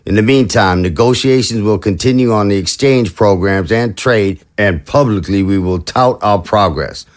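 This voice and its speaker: A man voices a measured leader in an audio drama. He hears out his advisers and then issues orders with calm authority. His voice sits fairly low. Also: lightly acted. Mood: commanding. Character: measured male leader, commanding and diplomatic. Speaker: head of state giving orders.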